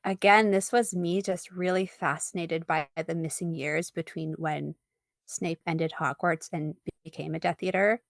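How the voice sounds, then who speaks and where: Woman artist being interviewed, slightly low voice, giggly and casual, close dry sound. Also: measured pace, vocal fry, dry recording. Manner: giggly, informal and animated. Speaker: interview guest, fan artist. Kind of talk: casual chat about own artwork.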